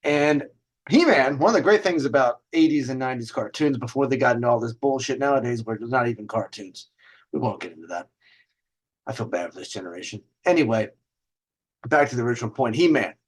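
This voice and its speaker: A man's voice: medium pitch, expressive, a little fast, husky. A middle-aged man hosting a video segment, telling stories in a casual, rambling, informal way with conversational asides and some swearing. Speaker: host of a dating and lifestyle video segment. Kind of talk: casual, rambling storytelling to camera.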